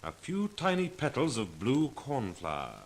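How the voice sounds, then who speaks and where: A man's voice: medium pitch, huge pitch swings, a little slow, heavily acted. An actor playing a bold, cool-headed male sleuth in a clipped, authoritative 1940s radio drama style. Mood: grim. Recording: vintage 1940s radio recording.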